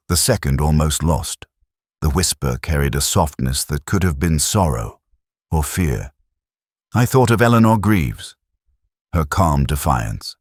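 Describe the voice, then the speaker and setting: A man acting as a melancholy detective tells his mystery in the first person, in an extremely deep voice. His delivery is reflective and literary, with wide swings of intonation over measured phrases. The sound is dry and intimate, like a scripted audio drama. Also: no echo, exaggerated voice. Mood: brooding, haunted. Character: brooding, melancholy male police inspector narrating his case.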